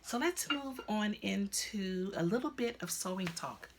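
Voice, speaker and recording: A woman's voice: medium pitch, expressive, a little slow, a little hiss or hum. A woman in her fifties hosting a crafting podcast, chatting casually at home with lots of ums and restarts. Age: 50s. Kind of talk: casual chat to camera. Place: at home, indoors.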